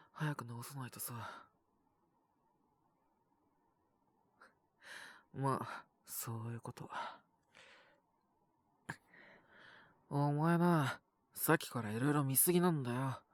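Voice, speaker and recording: Man acting as a gruff, sullen young wolf beastman. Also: mid-range voice, moderate pace, no room sound, natural voice. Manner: blunt rough speech, curt, grumbling. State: sick with a cough, acted. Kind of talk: Japanese audio roleplay voice acting.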